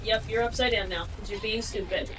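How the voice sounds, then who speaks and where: A woman's voice: medium pitch, fairly even intonation, a little fast, recorded from a distance. A woman chatting casually and bluntly, with some swearing, during a game playthrough. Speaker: streamer.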